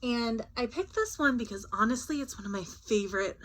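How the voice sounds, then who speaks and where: A woman teacher doing a children's read-aloud, expressive and chatty, with casual asides. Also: on the high side, fairly quick, slight background noise, low-quality recording.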